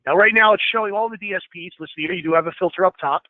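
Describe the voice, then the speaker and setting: A man leading a software training session over a screen share, explaining step by step with many fillers, slightly hoarse and clearing his throat. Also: sits high, rapid-fire, dry recording. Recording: tinny phone-like line.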